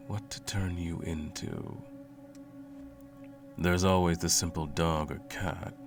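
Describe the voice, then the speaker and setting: A man playing a cruel, smug, all-powerful sorcerer in an ASMR roleplay, with a slow, condescending, menacing drawl and a gravelly voice. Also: deep voice, exaggerated voice. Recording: music playing under the voice. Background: music.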